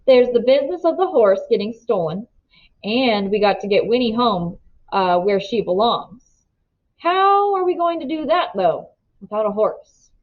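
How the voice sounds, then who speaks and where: Country father for a storybook, read by a woman: plain-spoken, grave yet gentle, rustic, slightly dull recording. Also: fairly high pitch, expressive, unhurried. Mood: concerned. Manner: rustic, folksy grammar.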